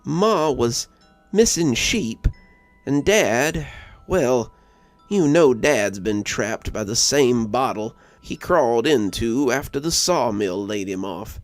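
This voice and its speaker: A man's voice: medium pitch, lively intonation, slow pace, no room sound, soft background music. A man voicing a wounded young backwoods man who recounts a monster attack in a story. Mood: grim, haunted. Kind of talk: first-person storytelling monologue.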